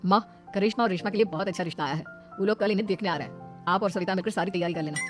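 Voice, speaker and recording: A woman's voice: mid-range voice, racing, faint music behind, close to an everyday voice. A woman voicing a firm, admonishing elder brother in a moral-story cartoon. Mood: matter-of-fact. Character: protective then stern, easily angered elder brother.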